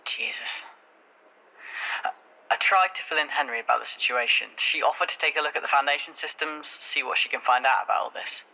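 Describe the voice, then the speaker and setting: Sharp-voiced actor as concerned, wry male friend. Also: on the high side, a little fast, vocal fry, full character voice, poor audio. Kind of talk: audio drama acting, naturalistic.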